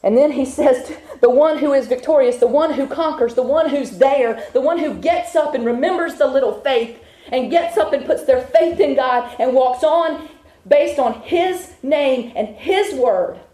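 A woman's voice: high-pitched, normal speed, dark tone. A woman preaching a sermon to a congregation, building her points with rhythmic repetition. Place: church with a congregation. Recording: echoey room.

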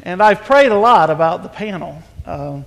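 High-voiced pastor in a reverberant church. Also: male voice, animated, moderate pace. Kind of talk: sermon panel hosting. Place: church sanctuary. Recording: hall reverb.

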